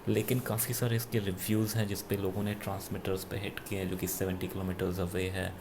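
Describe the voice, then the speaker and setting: Low, gravelly Indian man reviewing gadgets. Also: little pitch movement, background noise. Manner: halting, self-correcting. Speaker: product reviewer. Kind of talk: unscripted gadget review, mixing Hindi with English.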